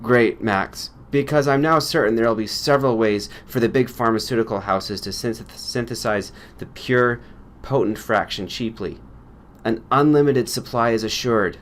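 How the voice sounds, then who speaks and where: A man's voice: mid-range voice, normal speed, close to an everyday voice. Brusque, insistent scientist, played by a man. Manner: snappy, insistent, forceful. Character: brusque, impatient, pushy male research partner.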